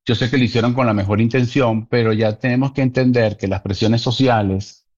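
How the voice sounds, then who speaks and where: A man's voice: medium pitch, steady tone, a little fast, darkish tone. Earnest guy sharing views on marriage, medium voice, kinda muffled sound. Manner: earnest, reflective, repeats points for emphasis. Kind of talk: casual conversation.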